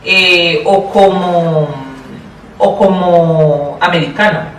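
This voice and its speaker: A woman with a Colombian accent answering interview questions in a thoughtful, deliberate way, often repeating and rephrasing herself. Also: lowish voice, fairly even intonation. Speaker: interview guest, public education official. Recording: roomy, distant mic, faint noise.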